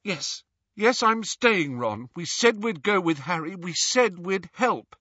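A man voicing an earnest, distraught teenage girl witch who pleads in a high, tearful voice. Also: very animated, unhurried, pushed and bright, husky, no room sound, lo-fi sound, full character voice. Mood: pleading.

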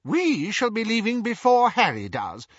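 A man's voice: wildly swooping pitch, measured pace, no room sound, highs cut off, full character voice. A man doing a fussy, fawning old wizard. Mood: brisk. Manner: effusive, fawning, high-pitched. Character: excitable fussy elderly male wizard.

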